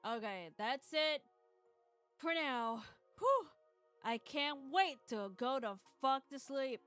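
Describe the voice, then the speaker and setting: A woman's voice: high voice, expressive, takes it slowly, fairly bright tone, smooth, soft background music. A woman hosting a livestream, chatting casually in a rambling, hesitant, jokey way. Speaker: livestream host.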